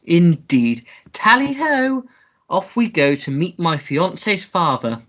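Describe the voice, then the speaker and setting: A woman playing a foppish, childish upper-class English gentleman in a comic melodrama radio play, pompous and theatrical. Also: fairly low pitch, big pitch swings, takes it slowly, dull audio, heavily acted. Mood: gushing. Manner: pompous, theatrical, babyish gushing.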